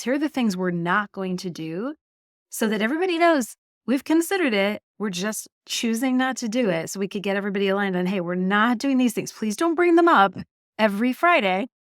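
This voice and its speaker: Lively Canadian woman guest, dry sound. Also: medium pitch, brisk, no room sound. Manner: animated storytelling, laughs often. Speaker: marketing executive guest. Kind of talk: podcast interview conversation.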